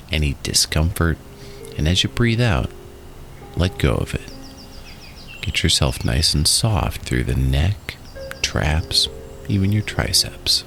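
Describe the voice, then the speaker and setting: A man narrating a guided relaxation in a soothing, instructional way, in short phrases with pauses. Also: very deep voice, fairly bright tone. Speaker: guided meditation host. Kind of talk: guided meditation and hypnosis narration. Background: music.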